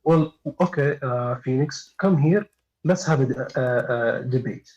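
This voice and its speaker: A man's voice: fairly high pitch, unhurried, a little mellow. Hesitant man on a dull call line. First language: Arabic. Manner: hesitant, many fillers and restarts. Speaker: caller. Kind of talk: live stream call.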